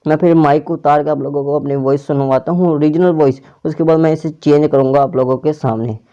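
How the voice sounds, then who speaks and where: A man's voice: fairly high pitch, steady tone, a little fast, mellow, muffled recording. A man hosting a casual tech tutorial, explaining an app step by step to viewers. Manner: step-by-step instructions addressed to viewers.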